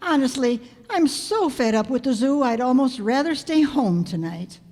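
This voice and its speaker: A woman's voice: high voice, expressive, normal speed, darkish tone. A woman playing a wry, exasperated schoolteacher who tosses off dry quips in an old-time radio comedy. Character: wisecracking, exasperated female high-school teacher. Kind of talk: 1940s radio comedy acting. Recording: very echoey hall stage recording.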